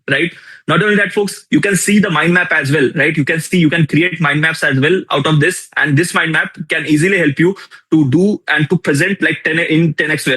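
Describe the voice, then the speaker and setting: A young man with an Indian accent running a live tools workshop, energetic and repetitive, firing questions at his audience. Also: a little high, breathy edge, rough recording. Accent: Indian English. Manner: energetic, repeats phrases, asks the audience questions. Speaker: workshop instructor. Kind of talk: live online tool demonstration.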